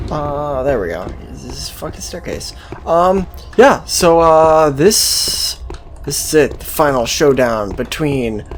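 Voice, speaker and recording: A young adult gaming streamer giving casual, sweary gameplay commentary in a sarcastic, mocking way. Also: mid-range voice. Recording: voice over game music.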